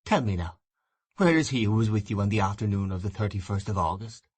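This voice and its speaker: A man's voice: on the low side, lively intonation, fairly quick, a little mellow, pitched below the performer's own voice. A man reading an audiobook voices an enraged, grief-stricken husband in a hard, accusing tone. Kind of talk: audiobook reading of a classic novel.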